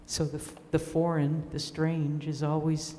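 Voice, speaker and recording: An older woman sharing reflections in a group discussion, speaking in halting, thoughtful phrases. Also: sits low, little pitch movement, mellow, a little hiss or hum. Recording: echoey hall with long reverb.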